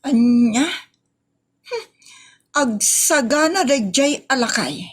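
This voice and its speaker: A woman's voice: sits high, animated, slow pace, full character voice. A woman playing a feisty, outspoken daughter with an Ilocano accent in a comedy drama. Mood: stern.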